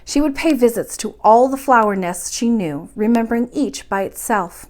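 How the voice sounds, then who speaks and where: A woman's voice: a little high, lively intonation, normal speed, a little mellow. A woman reading a children's story aloud expressively, as the host of a bedtime storytime.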